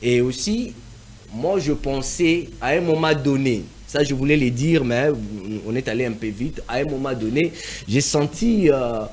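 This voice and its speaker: A man's voice: mid-range voice, unhurried, darkish tone, slight background noise. A man from DR Congo speaking as a panelist in a televised debate, emphatic, repeating phrases for stress with many hesitant fillers.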